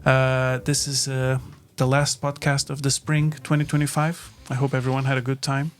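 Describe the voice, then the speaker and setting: A man from Portugal, a native Portuguese speaker, hosting a casual podcast chat in a reflective, halting way. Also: medium pitch, completely flat delivery, faint music behind. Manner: reflective, halting with frequent fillers. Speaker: podcast host.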